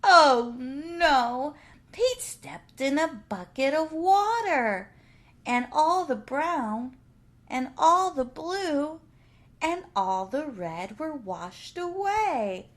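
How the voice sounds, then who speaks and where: A woman reading a picture book aloud to preschool children in an exaggerated, drawn-out way, putting questions to young listeners. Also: high voice, very animated.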